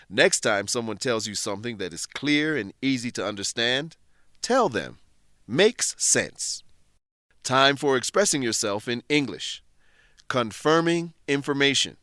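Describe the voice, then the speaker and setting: Guy narrating an english lesson, mid pitch, careful and explanatory, very dry recording. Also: pushed and bright, dry recording. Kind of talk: scripted instructional narration.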